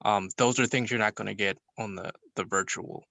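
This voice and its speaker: A man's voice: lowish voice, steady tone, a little fast, creaky, pushed and bright, dry recording. A man giving his view in a casual group discussion among film students.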